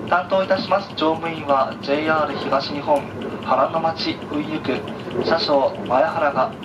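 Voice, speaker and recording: A man working as a train conductor, making a formal, very polite announcement to passengers over the onboard speakers. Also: steady tone. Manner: formal, very polite, measured station-by-station delivery. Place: inside a train carriage, heard over the speakers. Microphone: announcement over PA, captured by a handheld camera. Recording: muffled train PA, distant, loud carriage noise. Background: loud train rumble and ambience.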